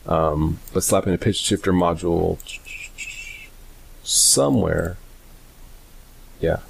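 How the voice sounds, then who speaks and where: A man presenting a casual, unscripted software tutorial in a hesitant, rambling way, often correcting himself. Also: low-pitched, vocal fry, husky. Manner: hesitant, halting, self-correcting, rambling delivery.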